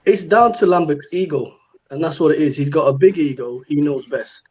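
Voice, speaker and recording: A man's voice: fairly high pitch, normal speed, darkish tone, breathy edge, muffled recording. Blunt, rambling man in his thirties. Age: 30s. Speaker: guest fan. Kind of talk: casual football chat.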